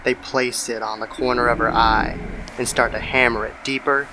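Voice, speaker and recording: A man's voice: medium pitch, level tone, normal speed. A man narrating a scripted horror story as the storyteller of an audio drama. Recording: clear background noise under the voice.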